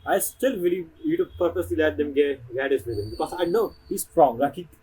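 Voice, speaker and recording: A woman's voice: low-pitched, lively intonation, quick pace, dark tone, slight background noise, rough recording. A woman giving casual, opinionated gameplay commentary in an informal way as she plays. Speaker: game streamer. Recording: game music behind the voice. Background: game music.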